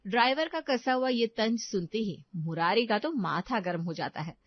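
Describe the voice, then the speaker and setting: Dry dull recording, female storyteller, highish pitch, scripted comic narration. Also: lively intonation, moderate pace, no room sound.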